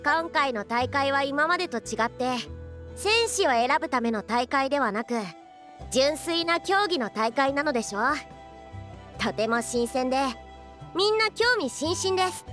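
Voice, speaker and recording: An actress playing a confident, tough and proud female athletic coach in an anime-style video game, energetic and brisk. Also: high voice, faint music behind.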